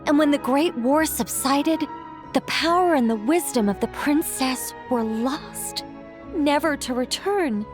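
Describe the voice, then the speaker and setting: An ancient female angel who tells tales in a video game. The voice sits somewhat high, with measured, eloquent phrasing and a playful, wry edge. Music plays behind the words. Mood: solemn, storytelling. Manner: eloquent, measured, gently teasing. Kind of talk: video game character acting, storybook narration.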